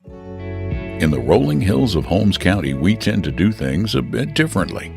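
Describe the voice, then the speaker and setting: A man's voice: deep voice, very animated, measured pace. A man narrating a scripted radio commercial as an announcer. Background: clear plucked guitar music.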